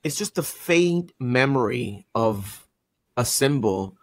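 A man's voice: mid-range voice, animated, takes it slowly, dry recording. A man from Puerto Rico with a Puerto Rican accent, chatting casually as a podcast guest.

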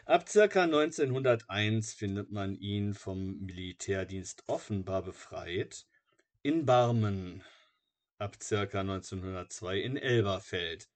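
A man narrating a biography from a script in a measured, matter-of-fact way, like an audiobook. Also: on the low side.

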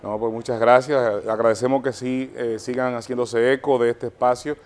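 Dominican male spokesman, 40s, calm measured delivery with hesitations, average pitch. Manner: measured, soft-spoken, with fillers. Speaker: chamber of commerce representative. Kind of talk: formal guest interview. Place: TV studio set. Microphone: lapel mic.

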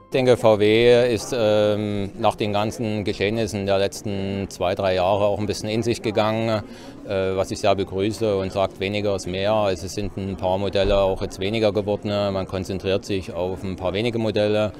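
Low, even-toned man in interview. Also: moderate pace. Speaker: dealership managing director, interviewee. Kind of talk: spontaneous interview talk. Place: car showroom, indoors. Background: music.

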